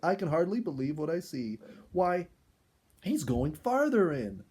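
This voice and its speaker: Man putting on a shrieky, excitable woman, high-pitched. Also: a little slow, husky, exaggerated voice. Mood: amazed. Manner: shrieky exclamations, dramatic moaning. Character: excitable mother terrified of mice, melodramatic and squeamish.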